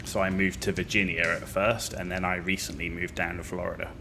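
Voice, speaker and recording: A British man in his forties, giving relaxed, musing gameplay commentary with frequent fillers. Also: low voice, brisk, gravelly. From United Kingdom. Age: 40s. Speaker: gaming video host. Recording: loud game music behind the voice. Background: loud game music.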